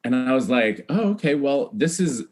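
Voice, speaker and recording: A man's voice: mid-range voice, lively intonation, normal speed. A middle-aged man, telling stories in a chatty, casual way as a podcast guest and acting out remembered dialogue. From United States, Iowa. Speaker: podcast guest, celebrity hair stylist. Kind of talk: animated casual storytelling.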